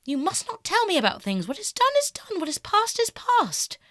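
Woman as vain, animated young gentleman. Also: medium pitch, fairly quick, no echo, studio quality, pitched above the performer's own voice. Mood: agitated, defiant. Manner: theatrical, airy, emphatic. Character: vain, callous young aristocratic man, dramatic and self-absorbed. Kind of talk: audiobook character acting.

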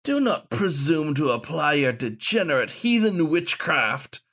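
A man's voice: fairly high pitch, sing-song, a little slow, fairly bright tone, voice pushed up, full character voice. A man voicing the sneering ghost of a zealous wolfhound saint, declaiming in a pompous, archaic manner.

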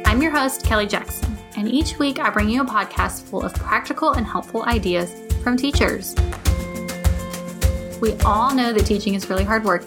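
A woman, hosting a podcast in an upbeat, chatty way. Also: mid-range voice. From Texas. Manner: upbeat, chatty, says y'all. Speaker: podcast host. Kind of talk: podcast intro and interview.

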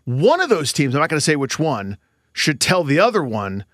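A man co-hosting a sports podcast, explaining and bantering about baseball in a casual, animated way. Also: fairly high pitch, normal speed, no echo, studio quality.

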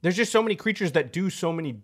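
A man co-hosting a casual podcast, giving relaxed, rambling explanations with fillers. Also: sits high, very fast, husky. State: short on sleep. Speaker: co-host.

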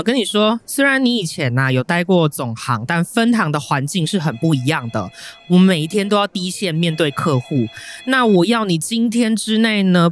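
Taiwanese senior coworker, instructive, businesslike, dry sound w faint music, radio drama. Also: male voice, high-pitched, fairly bright tone, dry recording. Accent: Taiwanese Mandarin. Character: businesslike senior male bank colleague briefing a newcomer.

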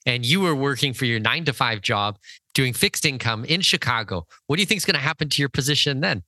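Bright-voiced male podcast host. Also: medium pitch, dry recording. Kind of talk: conversational interview. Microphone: close mic on an internet call.

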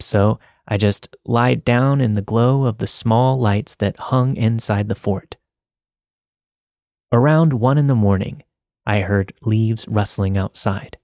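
A man hosting a story podcast, reading a scripted first-person scary story in a measured storytelling delivery on a close microphone. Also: on the low side, a little mellow, no room sound. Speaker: podcast host and narrator.

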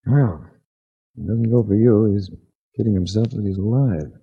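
An actor playing a wry hard-boiled investigator in a 1940s radio drama, speaking in a dry, measured, wisecracking way. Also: male voice, on the low side, vocal fry, breathy edge, exaggerated voice. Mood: wry, flirting. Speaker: lead actor and narrator. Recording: dull 1940s radio recording.

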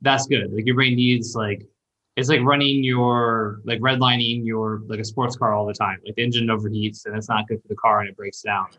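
A man's voice: fairly low pitch, level tone, moderate pace, pushed and bright, reverberant, highs cut off. A man in his thirties giving casual advice in a chat, rambling and thinking aloud as he goes. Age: 30s. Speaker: call-in show host and copywriting mentor.

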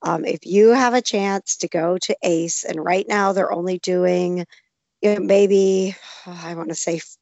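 A woman with a hoarse, gravelly voice, still recovering from losing it, chatting casually with lots of laughs and restarts. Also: lowish voice, steady tone, measured pace, darkish tone, dry recording, lo-fi sound. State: recovering from lost voice. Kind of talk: casual chat.

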